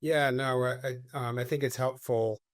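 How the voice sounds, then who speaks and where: Casting: man in his fifties, grew up near Boston, mid-pitched voice, thoughtful interview guest, close dry mic. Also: steady tone, normal speed, no echo. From Cambridge, Massachusetts. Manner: reflective, tags points with "right?". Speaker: guest, former FBI agent and CEO. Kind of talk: conversational podcast interview.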